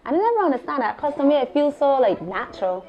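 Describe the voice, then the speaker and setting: A woman's voice: sits high, fast talker, highs cut off. A young woman from Barbados with a Barbadian accent, speaking into a handheld mic as the guest at a live artist talk in a small gallery. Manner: animated, expressive storytelling.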